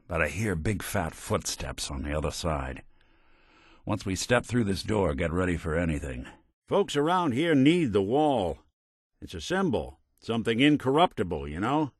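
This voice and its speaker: A hardboiled robot private eye from a video game, speaking low in an American accent. The delivery is wry and streetwise, full of old-time gumshoe slang, with big swings in pitch. The sound is close and dry. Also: male voice, no echo. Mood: cautious, businesslike, then dry, probing. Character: world-weary, wisecracking hardboiled android private detective. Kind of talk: video game voice acting in 1940s film noir detective manner.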